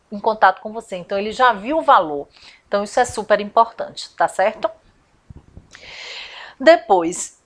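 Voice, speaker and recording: A woman from Salvador, giving a chatty step-by-step lesson as a confectioner and business coach, often asking rhetorical questions. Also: a little high. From Salvador, Brazil. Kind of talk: informal instructional video.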